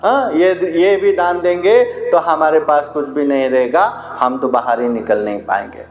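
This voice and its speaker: A man's voice: on the high side, measured pace. A man preaching as a Buddhist monk, telling parables in a public discourse, repeating phrases for emphasis and voicing the dialogue in his stories. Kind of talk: public religious discourse with storytelling. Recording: roomy echoing sound with music behind.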